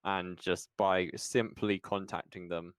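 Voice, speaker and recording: A man's voice: low-pitched, level tone, slow pace, smooth, no echo, clean studio sound. A man hosting a podcast interview in a casual, conversational style.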